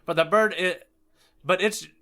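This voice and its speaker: Bright-voiced man chatting on a podcast. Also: sits high, measured pace. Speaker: podcast co-host. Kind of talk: casual podcast chat.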